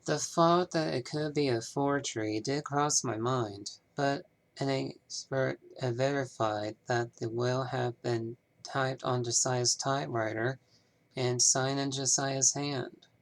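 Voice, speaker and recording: A man voicing a polite, businesslike 1930s small-town banker from a mystery game, with measured, formal phrasing. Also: mid-range voice, fairly even intonation, gravelly. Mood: explanatory. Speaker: amateur voice actor. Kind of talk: scripted game character dialogue.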